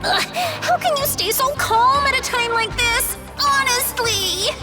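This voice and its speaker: A woman's voice: very high-pitched, measured pace. A bubbly clingy fairy girl. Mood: worried. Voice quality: bubbly, teasing valley-girl talk. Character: perky clingy young female fairy companion. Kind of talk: video game cutscene voice acting. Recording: game audio with loud music behind.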